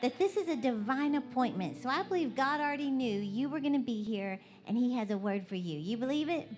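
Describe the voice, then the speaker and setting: A woman's voice: fairly high pitch, animated, a little fast, darkish tone. A woman with a Southern US accent giving a bubbly, folksy, conversational motivational talk to a live church gathering. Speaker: guest motivational preacher. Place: large indoor church event.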